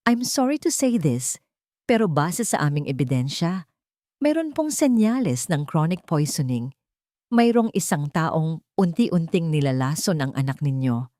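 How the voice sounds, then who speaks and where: A woman's voice: low-pitched, very animated, a little slow, warm tone, no room sound, clean studio sound. A woman voicing a grave doctor in an audio drama, formal and hesitant while delivering bad news. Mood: grave, regretful.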